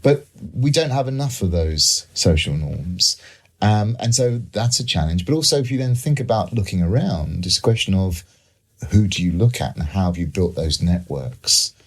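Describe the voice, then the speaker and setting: A man's voice: low-pitched, expressive, normal speed, slightly rough. A man talking as an expert panel guest, explaining his thinking in a conversational, discursive way.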